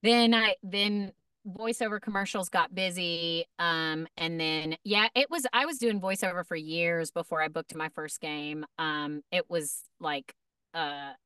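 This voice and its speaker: Casual podcast chat from a woman, bright and clear, lots of starts and stops, dry sound a bit off the mic. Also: on the low side, unhurried, dry recording, distant mic. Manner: animated, many restarts and fillers. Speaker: voice actor guest.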